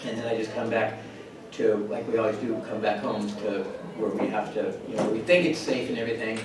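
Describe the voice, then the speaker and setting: A man in his fifties from California, telling his own story at a conference in a halting way, with many restarts and pauses. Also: lowish voice, steady tone. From California, USA. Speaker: resident steward, conference speaker. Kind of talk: personal story at a conference panel. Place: conference hall. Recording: very echoey hall, distant mic, room noise.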